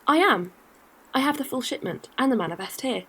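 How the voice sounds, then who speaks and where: A woman's voice: high-pitched, lively intonation, fairly quick, a bit bright, breathy edge, heavily acted, poor audio. A woman playing a friendly, practical alien courier in a science-fiction audio drama, easygoing and matter-of-fact. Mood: polite, businesslike.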